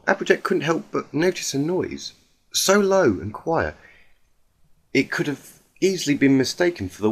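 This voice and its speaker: Man reading a story with animated pitch. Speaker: narrator reading fan fiction aloud. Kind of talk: dramatic amateur story narration.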